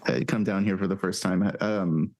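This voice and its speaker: Low-voiced man hosting a podcast. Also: steady tone, darkish tone. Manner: halting, filler-heavy speech. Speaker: podcast host. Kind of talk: casual interview chat.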